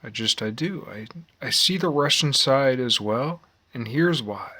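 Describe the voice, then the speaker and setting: A man in his forties with an American accent, giving a rambling, reflective monologue in a halting, musing way with repeated phrases. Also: mid-range voice, expressive, pushed and bright, breathy edge. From United States. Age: 40s. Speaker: vlogger.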